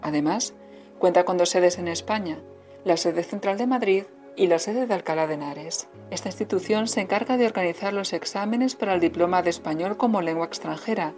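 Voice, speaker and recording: A woman reading a scripted informational narration in a formal, measured way. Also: on the low side, a little mellow, faint music behind.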